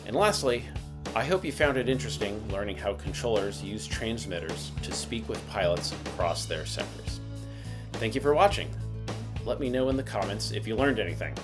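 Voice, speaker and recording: A man's voice: fairly low pitch, expressive, moderate pace, music in the background. A man giving scripted instructional narration as the host of an explainer video, in a methodical, explanatory manner. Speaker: host of an aviation explainer channel.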